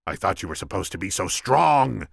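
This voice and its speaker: A man's voice: mid-range voice, huge pitch swings, measured pace, creaky, bright, forceful tone, no echo, clean studio sound, pitched above the performer's own voice, full character voice. A man voicing a scheming, domineering hunchbacked villain from a storybook, scornful and commanding. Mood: scornful, angry.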